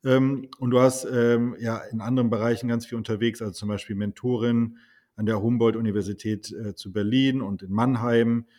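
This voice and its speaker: A man's voice: medium pitch, little pitch movement, moderate pace, mellow. A man hosting a podcast, asking long, admiring questions with fillers and small restarts. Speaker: podcast host. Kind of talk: conversational podcast interview.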